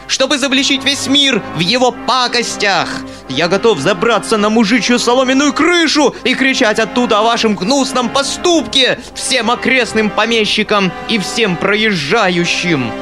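Brief: shrill hysterical hypocrite, high and screeching,. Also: male voice, unhurried, hardly any pauses, music in the background. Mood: shrieking. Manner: shrieking, hysterical ranting. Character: shrill self-righteous hypocrite man, shrieking tyrant.

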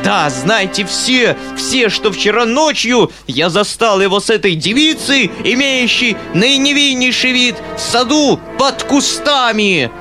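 A man voicing a shrill, self-righteous hypocrite who shrieks and rants hysterically. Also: measured pace, hardly any pauses, music in the background. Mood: shrieking.

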